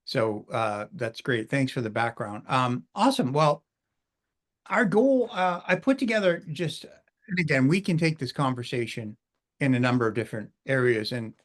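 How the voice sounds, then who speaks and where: A middle-aged man hosting a webinar in a casual, chatty way, full of informal asides. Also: mid-range voice. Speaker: webinar host.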